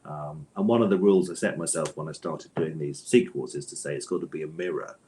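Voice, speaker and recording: A man's voice: rumbling low, brisk, mellow, slight background noise. A man speaking as an interviewed author in a conversational, discursive way, often interrupting himself and repeating words.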